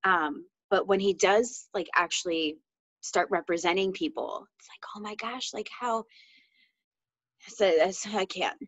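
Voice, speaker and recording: A woman's voice: medium pitch, measured pace, no room sound. A woman co-hosting a book discussion in a casual, candid, conversational way, often peppering her talk with "like". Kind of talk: casual chat.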